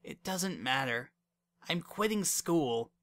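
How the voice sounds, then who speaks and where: A man voicing a heartbroken, defensive young female unicorn in a story, with a hoarse, muttering voice. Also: a little high, animated, slow pace, fairly bright tone, voice pushed up, exaggerated voice. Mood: sullen, despondent.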